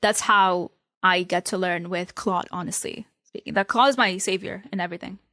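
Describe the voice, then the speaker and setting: A woman whose first language is Arabic or French, a newsletter writer speaking in a casual interview, animated and quick to laugh. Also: fairly low pitch, no room sound, studio quality.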